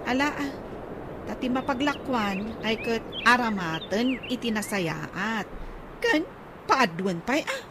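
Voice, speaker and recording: A woman's voice: a little high, sing-song, slow, background noise, heavily acted. A woman with an Ilocano accent playing a bossy, talkative woman in a radio comedy drama. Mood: advising.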